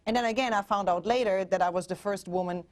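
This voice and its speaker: Woman, German accent, forties, TV guest, earnest, halting restarts, mid pitch. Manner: earnest, with frequent restarts and fillers. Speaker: guest relationship coach. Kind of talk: TV interview.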